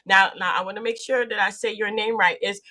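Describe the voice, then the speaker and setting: A woman's voice: medium pitch, fairly even intonation, brisk, sharp tone. This is a woman hosting a casual interview podcast. She talks in a bubbly, exclamatory way, doubling back and restarting her thoughts as she goes. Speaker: podcast host.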